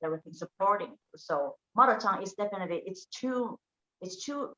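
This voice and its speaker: A woman's voice: mid-range voice, animated, brisk, fairly bright tone. A woman guest whose first language is Finnish, speaking hesitantly and searching for words. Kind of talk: casual panel chat. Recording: echoey room, dull lo-fi audio.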